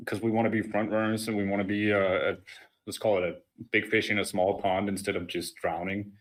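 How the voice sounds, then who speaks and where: A man with a Danish accent, Danish his first language, answering interview questions in a relaxed way over a remote call. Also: lowish voice, brisk. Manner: relaxed, laughs at own slips. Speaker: company founder guest.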